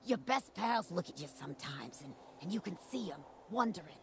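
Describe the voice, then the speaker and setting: A woman's voice: fairly low pitch, expressive, a little fast, vocal fry. A woman playing a tough, wisecracking, jaded ghoul brawler in a video game, talking in cocky street slang with a gritty edge. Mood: wary. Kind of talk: video game character dialogue.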